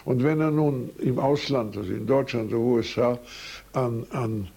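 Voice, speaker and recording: Older Swiss man with a gravelly voice. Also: mid-range voice, normal speed, dark tone. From Switzerland. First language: French. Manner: rambling, anecdotal, frequent hesitations and self-corrections. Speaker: interviewee. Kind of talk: recorded life-story interview. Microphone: recorded on tape.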